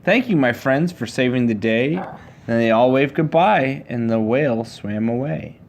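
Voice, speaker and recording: A man reading a children's picture book aloud to his child, with a measured, rhyming storybook delivery. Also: medium pitch.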